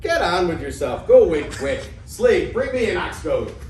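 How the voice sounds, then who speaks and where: Echoey distant room, guy playing a scoffing crafty old greek dad, highish voice, stage comedy reading. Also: sing-song, moderate pace, darkish tone. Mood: scornful, pompous. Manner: pompous, scoffing, theatrical. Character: crafty, mocking old Greek father, a blustering cheat. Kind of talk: amateur dramatic reading of classical comedy. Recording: echoey room, distant mic, faint noise.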